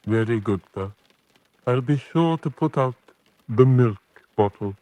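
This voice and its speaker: Man playing an anxious proper manservant, medium pitch, formal, polite, fussy, old-fashioned radio drama. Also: expressive, unhurried, dark tone, dry recording, lightly acted. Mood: puzzled, obedient.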